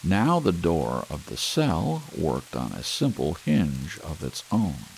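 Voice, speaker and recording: Very deep-voiced man reading a slow audiobook. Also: poor audio. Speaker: audiobook narrator. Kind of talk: scripted audiobook narration for sleep, ASMR. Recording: clear steady rain hiss behind voice. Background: rain sounds.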